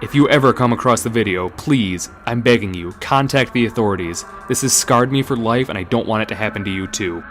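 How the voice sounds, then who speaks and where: A man narrating a horror story aloud in a theatrical, deliberate dramatic-reading style. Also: fairly low pitch, a bit bright, faint music behind.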